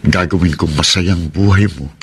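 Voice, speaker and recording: An actor with a Filipino accent playing an earnest, romantic, devoted middle-aged suitor in a radio drama. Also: male voice, deep voice, fairly even intonation, normal speed, heavy background noise, lightly acted.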